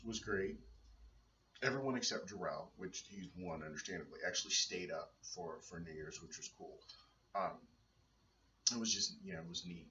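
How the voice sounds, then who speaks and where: A man's voice: a bit bright, a little hiss or hum. A man in his thirties, a father, chatting casually in a home video blog, hesitant and rambling with many fillers and restarts. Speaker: vlogger and father. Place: dim room at home. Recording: roomy, distant, dull low-fi sound.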